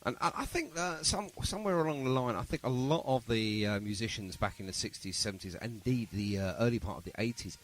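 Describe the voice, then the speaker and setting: A man's voice: mid-range voice, expressive, fairly quick, few pauses. A man hosting a chatty radio interview in a jovial, encouraging manner. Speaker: radio show host.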